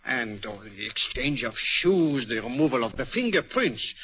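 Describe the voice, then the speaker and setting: Courtly French-accented sleuth for vintage radio mystery; shrewd, precise, forceful and bright, on a muffled old recording. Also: male voice, medium pitch, big pitch swings, moderate pace. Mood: courteous, grave. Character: shrewd, courteous, self-assured Francophone male private detective. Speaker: actor playing the lead detective. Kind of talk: 1940s radio mystery acting.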